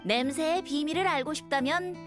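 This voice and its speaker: A woman's voice: high voice, normal speed, close to an everyday voice. A woman voicing a carefree, playful young male bard with a boyish, lyrical delivery in an anime game. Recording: music behind the voice.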